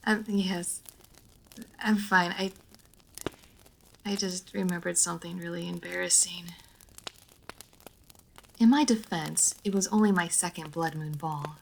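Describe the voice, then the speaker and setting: A woman playing a refined, reserved vampire noblewoman in a roleplay audio drama, speaking formally with measured diction, hesitant pauses and dry playfulness. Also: lowish voice, gravelly, a little hiss or hum, low-quality recording. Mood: embarrassed. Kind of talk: roleplay audio drama, intimate monologue to listener.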